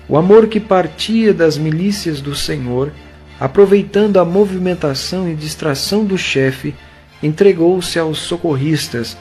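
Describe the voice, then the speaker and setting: A man with a Brazilian accent giving solemn, measured dramatic narration for an audio novel. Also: a little high, darkish tone.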